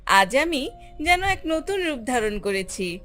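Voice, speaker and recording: A woman voicing a vain, haughty, quick-tempered female ripe mango in a children's cartoon, boasting and scolding. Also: high-pitched, very animated, pushed and bright, exaggerated voice. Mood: self-admiring. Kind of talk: animated children's fairy tale acting. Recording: music under the voice.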